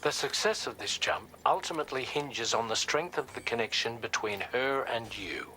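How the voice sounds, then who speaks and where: An actor playing an earnest, commanding expedition leader in a video game, speaking in a deliberate, formal way. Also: male voice, medium pitch, bright, forceful tone, a little hiss or hum, close to an everyday voice. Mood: grave, instructive. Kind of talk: cinematic game dialogue, briefing.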